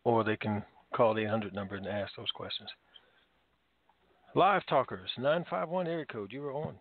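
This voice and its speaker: A man's voice: medium pitch, moderate pace, dry recording. A man co-hosting a call show, informally reading out listener questions and bringing callers onto a phone line. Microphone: phone conference line. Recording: dull lo-fi conference call audio.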